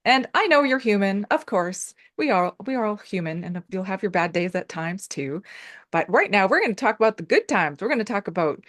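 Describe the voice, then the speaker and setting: A woman's voice: mid-range voice, animated, a little fast, fairly bright tone, no echo, clean studio sound. A woman hosting a casual interview over a video call, effusive, laughing often and using many fillers. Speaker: host.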